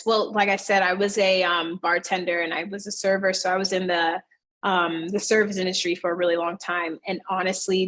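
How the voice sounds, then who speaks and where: A woman in her thirties talks through her answers in a loose, chatty way. She keeps an everyday mid-range pitch and slips into livelier voices whenever she quotes someone. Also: fairly bright tone, poor audio. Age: 30s. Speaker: fitness studio owner, guest. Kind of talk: casual interview answers.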